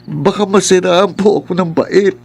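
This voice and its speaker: A man's voice: sits high, normal speed, gravelly, a little mellow, breathy edge, exaggerated voice. A man playing a stubborn, lovestruck young man pleading with passion in a Filipino radio drama. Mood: impassioned. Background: faint music.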